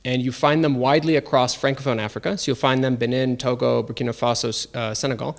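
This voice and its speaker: A man's voice: medium pitch, steady tone, quick pace, gravelly. A man in his thirties, raised in Tanzania, eagerly explaining his research as an interview guest, with many fillers. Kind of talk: interview answers.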